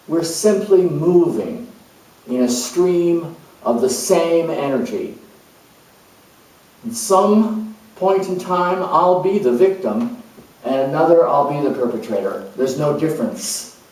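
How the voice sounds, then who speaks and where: An actor playing a calm, spiritual hospice coordinator who speaks in an earnest, searching way with many pauses. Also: male voice, fairly high pitch. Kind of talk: dramatized monologue. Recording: very echoey room, mic far off.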